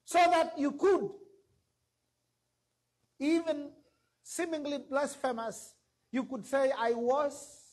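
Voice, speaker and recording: A middle-aged man preaching a sermon from a church stage into a handheld mic, emphatic and rising to exclamations. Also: very high voice. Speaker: pastor.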